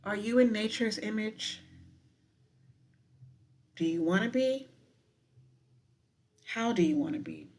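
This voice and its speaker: Slow-paced woman guiding meditation. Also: mid-range voice, animated, a little mellow. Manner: unhurried, deliberate, with frequent pauses. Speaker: professor guiding a breathing meditation. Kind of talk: guided meditation with spoken poetry.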